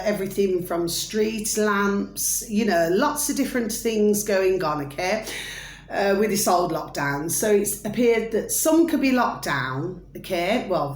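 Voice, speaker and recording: Woman vlogger, loud gob, rambling chatty asides, echoey room recording. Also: mid-range voice. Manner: loud, chatty, rambling, keeps addressing viewers with a pet phrase. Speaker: vlogger reviewing videos. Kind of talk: rambling casual commentary to viewers. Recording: echoey room with faint noise.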